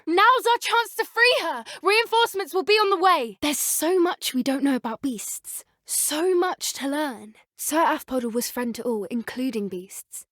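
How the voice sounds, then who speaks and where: Earnest young British witch, high voice. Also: lively intonation, measured pace, few pauses, a bit bright, dry recording, clean studio sound. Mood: urgent, alarmed. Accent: British English. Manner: polite, well-spoken, earnest. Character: earnest, gentle schoolgirl witch who loves magical beasts. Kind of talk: scripted video game voice acting.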